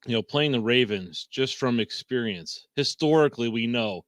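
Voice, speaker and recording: A man's voice: medium pitch, lively intonation, normal speed, dry recording. A man on a sports talk panel in a mid-range voice. He eases into his points with fillers and restarts. The recording is dry. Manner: hesitant, with lots of fillers. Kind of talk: casual sports talk show.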